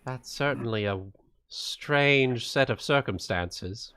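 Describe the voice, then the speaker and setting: A man chatting casually as a tabletop game player, playful and quick to exclaim. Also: mid-range voice, sharp tone, clear, resonant tone. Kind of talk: casual chat in an online tabletop game session.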